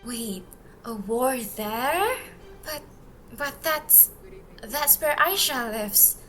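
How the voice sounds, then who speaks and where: A teenage girl with a high, bright voice played by a woman in an audio drama. She speaks at an unhurried pace, as an expressive, youthful friend and daughter. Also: soft background music, exaggerated voice. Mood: worried.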